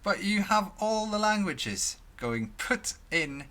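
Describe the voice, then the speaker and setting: A young Swedish man talking to his webcam in English, halting with many restarts and fillers. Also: high-pitched, expressive, bright, forceful tone. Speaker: vlogger. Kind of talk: casual talk to camera. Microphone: headphones, desk mic at webcam.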